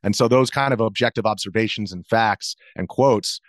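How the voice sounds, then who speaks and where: Low-pitched American man, measured and explanatory. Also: no room sound. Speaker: interview guest, video journalist. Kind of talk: conversational explanatory interview.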